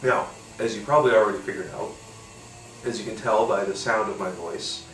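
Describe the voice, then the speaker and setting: A man's voice: on the low side, a little fast, fairly bright tone, echoey room, recorded from a distance, slight background noise, poor audio. Congested, nasal man chatting casually. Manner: rambling, swears casually, many fillers. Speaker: podcast host. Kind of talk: casual solo beer-tasting chat.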